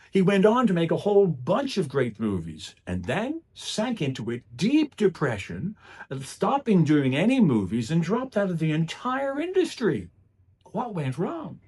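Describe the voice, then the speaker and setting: A middle-aged man delivers a motivational talk in a husky voice. His intonation is very lively, rising and dropping across phrases. He sets out each point deliberately, with emphatic pauses in a storytelling style. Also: mid-range voice. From Ireland. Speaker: public speaker. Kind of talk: motivational club speech with storytelling.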